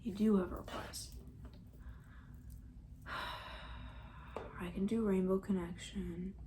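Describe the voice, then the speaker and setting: A woman doing soft-spoken ASMR roleplay as a camp counselor, chatting in a gentle, breathy, rambling way that often slips into a whisper. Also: medium pitch, fairly even intonation, slow pace, music in the background. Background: banjo.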